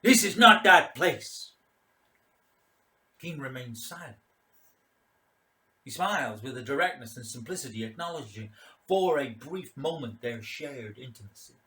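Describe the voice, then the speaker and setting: A man's voice: medium pitch, animated, a little slow, long pauses, pushed and bright. A man reading a literary short story aloud as a dramatic narration. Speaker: story reader.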